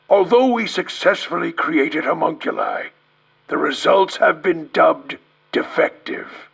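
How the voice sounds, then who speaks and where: Raspy, gravelly, distraught scientist. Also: male voice, a little high, fairly bright tone, exaggerated voice. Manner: halting, grave, theatrical delivery. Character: distraught male researcher recording a grim last testimony. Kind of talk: dramatic video game acting.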